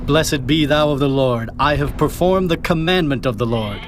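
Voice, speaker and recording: A man's voice: on the high side, moderate pace, soft background music, close to an everyday voice. An actor playing a defensive, pleading ancient king in a dramatized scripture reading.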